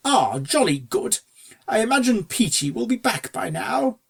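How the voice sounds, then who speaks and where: A reader voicing a genteel, good-natured upper-class gentleman stallion in a storybook, with a posh, dithering, old-fashioned way of talking. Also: medium pitch, big pitch swings, measured pace, gravelly, pitched above the performer's own voice. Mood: affably fussy. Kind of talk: storybook character dialogue.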